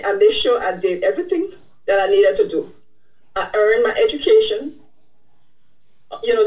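A woman's voice: a little high, low-quality recording. A middle-aged woman from Liberia, a podcast guest earnestly telling her life story in a reflective way. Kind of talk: personal story in interview.

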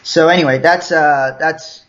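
A man's voice: on the high side, steady tone, unhurried, fairly bright tone. A young man from Liberia with an American accent, telling his story casually as an interview guest, with restarts and chuckles. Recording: dull lo-fi sound.

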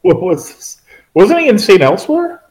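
A man's voice: sits high, big pitch swings, normal speed, a little mellow. A man co-hosting a casual chat about movies, rambling and correcting himself with dry asides. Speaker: podcast co-host.

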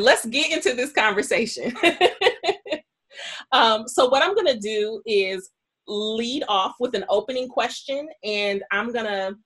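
A woman's voice: medium pitch, fairly bright tone. A woman moderating an online panel, speaking informally and chattily as she introduces the event and adds asides. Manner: chatty, housekeeping announcements with asides. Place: online video call.

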